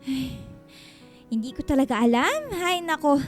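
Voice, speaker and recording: A woman's voice: high-pitched, sing-song, unhurried, faint music behind. A woman with a Filipino accent playing an anxious, guarded single mother in a radio drama, speaking in a hesitant, emotional, pleading way. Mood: worried, confiding.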